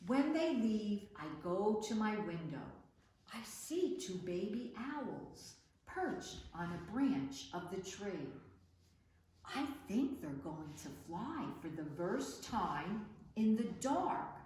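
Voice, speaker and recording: Echoey, animated woman reading a children's story. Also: mid-range voice. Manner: animated, dramatic, measured. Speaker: library storytime reader. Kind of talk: expressive children's picture-book read-aloud. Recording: echoey room with background noise.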